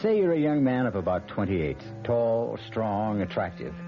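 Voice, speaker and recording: Male mystery-show narrator: wry, lively intonation, mid-pitched, muffled old radio sound over music. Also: normal speed. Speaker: host and narrator. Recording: muffled vintage radio recording.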